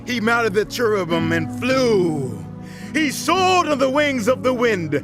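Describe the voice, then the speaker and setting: A man playing a devout warrior king, reciting a psalm of praise in measured, poetic declamation for a dramatized scripture reading. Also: extremely high pitch, very animated, music in the background, full character voice. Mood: awed, solemn. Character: reverent warrior king singing praise to God.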